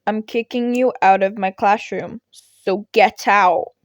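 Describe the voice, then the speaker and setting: A woman's voice: mid-range voice, fairly even intonation, slow pace, no room sound, lo-fi sound, close to an everyday voice. A woman voicing a tired, irritated male teacher who is dismissive and sardonic in a story reading. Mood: curt, firm. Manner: sardonic, muttering, weary.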